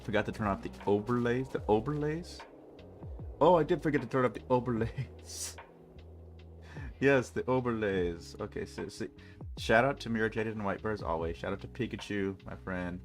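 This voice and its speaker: A man's voice: on the low side, moderate pace, faint music behind. A man with a stuffy nose chatting casually and rambling jokily with his chat while streaming a game. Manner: rambling, jokey, talks to chat.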